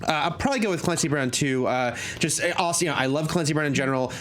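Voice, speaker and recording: Fast-talking middle-aged man. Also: fairly high pitch, a bit bright. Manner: quick, trivia-heavy, chatty. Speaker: panelist on a film news show. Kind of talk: casual panel chat. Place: TV studio set. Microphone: desk microphone.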